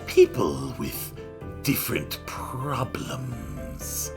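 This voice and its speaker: A man voicing an odd, blunt teenage girl in a visual novel, with a breathy, slithery half-whisper and drawn-out deadpan delivery. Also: fairly low pitch, music in the background, heavily acted. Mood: languid musing.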